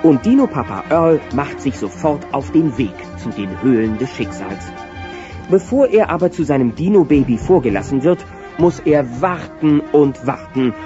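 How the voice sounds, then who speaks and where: An actor as the narrator of a children's audio play, telling the story in a vivid scripted style. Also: male voice, mid-range voice, very animated, moderate pace, natural voice. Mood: storytelling. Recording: muffled cassette-like sound with music.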